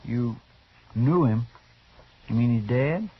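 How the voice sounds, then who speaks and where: Folksy, drawling deputy sidekick. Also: male voice, medium pitch, animated, slow pace. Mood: earnest, puzzled. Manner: folksy, deferential, plain-spoken. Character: folksy, loyal, eager deputy sidekick in a Western. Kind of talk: 1950s radio drama acting. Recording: dull, noisy old radio recording.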